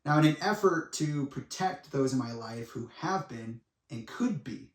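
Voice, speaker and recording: A man in his twenties giving a monologue to viewers in a dramatic, deliberate way, with pauses and blunt asides. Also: mid-range voice, expressive. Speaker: speaker making a personal public statement. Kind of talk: semi-prepared monologue addressed to viewers. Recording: echoey room, distant microphone.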